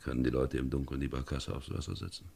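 An actor playing a grim, sinister ship's captain in a 1950s radio drama, terse and commanding. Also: male voice, a bass voice, quick pace, vocal fry, dark tone, slightly rough, full character voice. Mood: hushed, uneasy. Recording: 1950s radio recording, music underneath.

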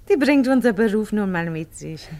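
A woman acting in a 1950s German radio play, playing a cool, self-possessed, gently mocking spy who speaks with foreign-sounding inverted word order. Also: mid-range voice, lively intonation, a little slow. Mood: matter-of-fact.

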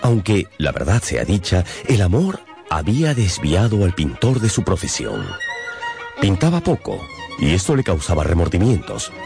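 A man narrating a radio novel in a theatrical, measured and wry manner. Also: low-pitched, wildly swooping pitch, heavily acted. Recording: music behind.

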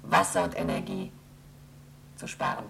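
A woman's voice: moderate pace, a bit bright, natural voice. A woman playing an emotionless lunar station computer in a radio play, reciting formal status reports with precise diction. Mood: flat, procedural.

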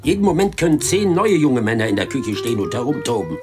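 Male accomplice man acting, chatty and anxious, animated intonation, radio play with music underneath. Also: mid-range voice, fast talker, lightly acted. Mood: uneasy. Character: fussy, worried male accomplice of an old man. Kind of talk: German radio play dialogue. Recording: music and clinking dishes behind.

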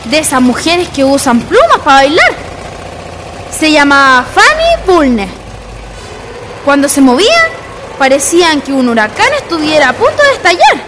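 An actress playing an excitable, gossipy Chilean woman in a radio drama, telling tales in colloquial speech. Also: sits high, a little slow, pauses often, background noise. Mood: excited gossip. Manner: animated, colloquial Chilean storytelling. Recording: music behind the voice.

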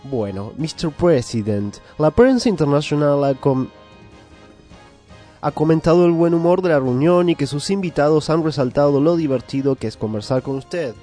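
A man's voice: on the high side, expressive, normal speed, warm tone, faint music behind, close to an everyday voice. A man playing a pompous, fussy foreign correspondent in a parody news interview, mock-formal and dropping English words into Spanish.

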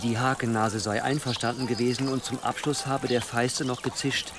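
A man's voice: medium pitch, level tone, moderate pace, noisy background, close to an everyday voice. An actor playing a loyal medieval envoy reporting formally and insistently to his lord in a radio drama. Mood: earnest, deferential.

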